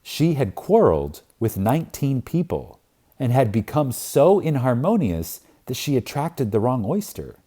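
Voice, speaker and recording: A man reading a spiritual self-help book aloud as an audiobook, in a reverent, emphatic manner with long deliberate pauses. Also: medium pitch, very animated, warm tone, slightly rough. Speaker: audiobook reader.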